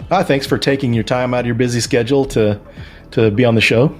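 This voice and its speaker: A man's voice: medium pitch, fairly even intonation, fast, faint music behind. A man hosting a podcast in a conversational interview style. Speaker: podcast host.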